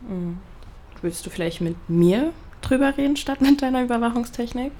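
A young woman playing a caring, worried flatmate in a naturalistic audio drama, earnest and a little hesitant. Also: fairly high pitch, moderate pace. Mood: gentle, hesitant. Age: young adult.

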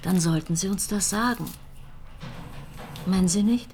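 An actress playing a composed police detective in a naturalistic crime drama, probing and measured in conversation. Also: female voice, medium pitch, darkish tone, slight background noise, close to an everyday voice. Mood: calm, coaxing.

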